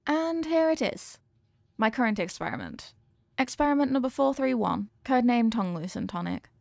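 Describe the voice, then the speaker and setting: A woman's voice: a little high, expressive, normal speed, creaky, a little mellow, no echo. A woman playing an earnest, formal young scientist who is fond of her test subject, in a scripted roleplay with precise, clinical wording. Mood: polite, businesslike. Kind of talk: scripted roleplay addressed to the listener.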